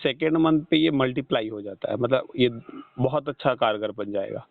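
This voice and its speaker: A man's voice: mid-range voice, moderate pace, gravelly, no echo. A man with an Indian accent explaining things in a didactic way as a health counsellor on a phone call, often repeating himself and checking understanding. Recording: muffled, band-limited phone call recording.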